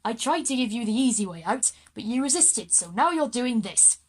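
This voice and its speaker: A woman's voice: fairly high pitch, animated, moderate pace, husky. Woman playing a pompous, boastful pirate general. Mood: bossy. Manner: pompous, theatrical, piratical turns of phrase. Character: egotistical boastful male pirate general, bossy showman. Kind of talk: kids' audio drama acting.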